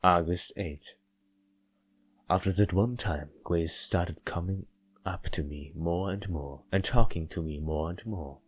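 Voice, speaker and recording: A man reading as an aloof, withdrawn male narrator of a visual novel, reflective and deadpan. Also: low-pitched, a little slow, slightly rough.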